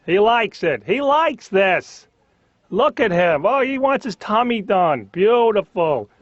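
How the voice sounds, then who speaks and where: Squeamish husband, slightly high voice. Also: male voice, very animated, slow, full character voice. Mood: exasperated. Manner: comic storytelling with acted-out asides. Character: squeamish, exasperated husband venting in therapy. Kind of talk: stand-up routine as cartoon dialogue. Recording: dull, lo-fi audio.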